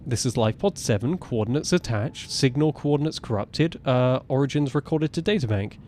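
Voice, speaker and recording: A man in his twenties with a naturally deep voice, rambling casually as he streams a game live. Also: expressive, a little fast, a little mellow, slight background noise. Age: 20s. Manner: chatty, rambling, self-correcting. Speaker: gaming streamer. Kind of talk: casual live gameplay commentary.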